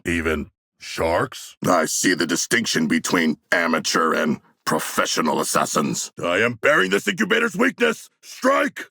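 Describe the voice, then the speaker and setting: A gravelly, pompous shark warrior. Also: male voice, low-pitched, bright, forceful tone, no echo, clean studio sound, heavily acted. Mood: pensive, earnest, then battle fervor. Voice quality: gravelly, gruff. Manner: stilted, pompous, archaic formal diction. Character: hulking humanoid shark warrior, erudite, formal, bloodthirsty, naively earnest. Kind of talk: video game character voice lines.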